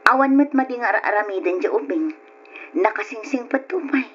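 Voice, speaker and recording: An actress with a northern Philippine accent playing a concerned, coaxing aunt in a radio drama. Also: female voice, a little high, normal speed, a little hiss or hum, natural voice. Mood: concerned, reasoning. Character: concerned, reasoning aunt standing up for her nephew. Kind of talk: Ilocano radio drama acting. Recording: dull lo-fi old radio.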